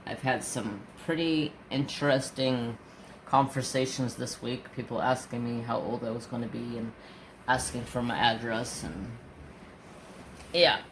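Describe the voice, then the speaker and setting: Man, 40s, craft vlogger, unhurried rambling chat, faint hum behind. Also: medium pitch, fairly bright tone, low-quality recording. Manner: rambling, chatty storytelling with restarts. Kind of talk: casual chat while crafting.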